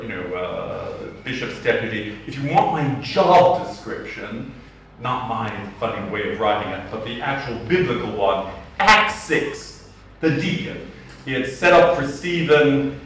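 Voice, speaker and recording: A man's voice: mid-range voice, fairly bright tone, slight background noise. A middle-aged man with a Boston accent, an archdeacon telling wry stories in a humorous sermon. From Boston, USA. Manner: wry anecdotal storytelling, slow deliberate delivery. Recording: roomy church sound from a distant mic.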